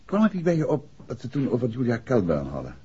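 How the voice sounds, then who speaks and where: An actor playing a calm, probing gentleman detective in a 1960s Dutch radio drama. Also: mid-range voice, fast talker, husky, exaggerated voice. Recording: dull 1960s radio recording.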